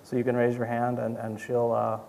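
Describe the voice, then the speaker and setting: A man's voice: mid-range voice, monotone, a little fast, creaky, a little mellow. A man informally moderating a live audience Q&A in a casual, somewhat hesitant way. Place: indoor event venue with audience. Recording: noisy live event room.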